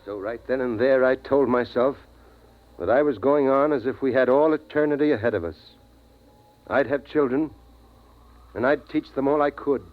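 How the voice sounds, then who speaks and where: An actor playing a steadfast, philosophical middle-aged father, speaking in an earnest, measured, dramatic 1950s radio style. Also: mid-range voice, natural voice. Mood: resolute, reflective. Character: steadfast, philosophical father, last man guarding his family. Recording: dull vintage radio recording.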